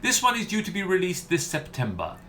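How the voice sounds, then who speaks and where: A man's voice: high-pitched, huge pitch swings, a little fast, pushed and bright, a little hiss or hum, full character voice. A man playing a pompous, self-regarding mystery novelist in a theatrical, condescending radio comedy manner. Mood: smugly gracious.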